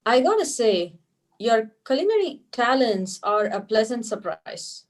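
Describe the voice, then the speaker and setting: A woman's voice: a little high, big pitch swings, a little slow. A woman playing a wry, guarded fiancée in a scripted table read, with a dry, skeptical delivery. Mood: pleasantly wry. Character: wry, guarded young woman engaged to a spy.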